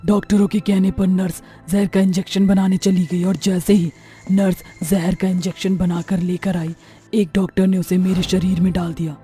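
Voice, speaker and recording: एक औरत कार्टून भूत-कहानी में अन्याय से मरी, बदला लेने वाली युवा चुड़ैल की धमकी भरी, गंभीर आवाज़ निभा रही है।. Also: female voice, fairly low pitch, dark tone, close to an everyday voice. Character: vengeful young female witch, wronged ghost of a patient. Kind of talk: Hindi cartoon horror story acting. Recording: faint music underneath.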